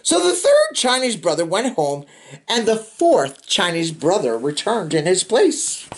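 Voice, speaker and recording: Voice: medium pitch, wildly swooping pitch, a little slow. A storybook reader reading aloud to children in a theatrical, playful way with chatty asides.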